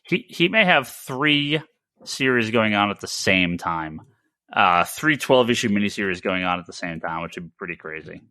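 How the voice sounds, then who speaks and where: A man's voice: on the low side, lively intonation, normal speed, sharp tone, no room sound, studio quality. A man hosting a casual comics podcast, talking emphatically and with strong opinions, often restarting and repeating phrases. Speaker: podcast host, comic store owner.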